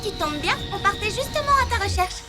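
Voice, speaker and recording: A woman voicing a sensible, quick-thinking young child superhero in a French cartoon dub, with an earnest manner. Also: very high-pitched, big pitch swings. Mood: purposeful. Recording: loud music underneath.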